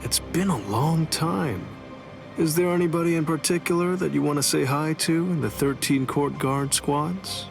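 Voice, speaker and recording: Gravelly, cocky laid-back swordsman. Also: on the high side, normal speed. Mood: wry, defiant. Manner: casual, wry, blunt. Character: cocky, laid-back, blunt male swordsman, rebel leader. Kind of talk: anime game cutscene dialogue. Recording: dialogue over clear background music.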